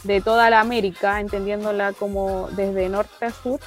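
A woman co-hosting a radio show, earnestly explaining a topic in a measured way. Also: medium pitch, level tone, faint music behind.

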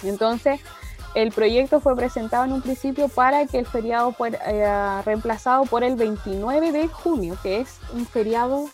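A woman co-hosting a radio show, earnestly explaining a topic in a measured way. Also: fairly high pitch, a little mellow, faint music behind.

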